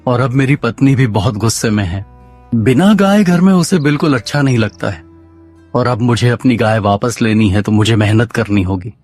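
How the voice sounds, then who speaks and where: A man's voice: mid-range voice, expressive, normal speed, darkish tone, close to an everyday voice. Casting: male actor for work-shy, simple-minded cartoon villager husband, medium register, faint music underneath. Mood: resigned. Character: lazy, naive village husband who shirks work. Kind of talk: Hindi cartoon story dialogue.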